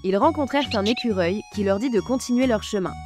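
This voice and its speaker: A woman narrating a children's Christmas story in a gentle storytelling manner. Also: mid-range voice, fast. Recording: music bed behind narration.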